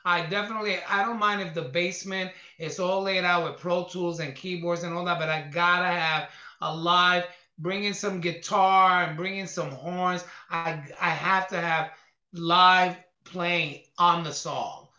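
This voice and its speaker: Bright-voiced man hosting in an echoey room. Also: high voice, fairly even intonation, measured pace. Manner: rambling, restarts and trips over words. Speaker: podcast host. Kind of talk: casual interview chat. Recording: roomy, dull lo-fi sound.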